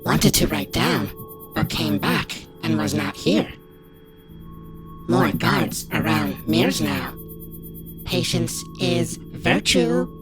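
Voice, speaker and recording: A woman voicing a calm, unsettling female creature in a horror audio drama, speaking in clipped broken sentences. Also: rumbling low, slow pace, vocal fry, pauses often, music in the background, exaggerated voice. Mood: matter-of-fact. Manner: clipped broken speech, dropping words.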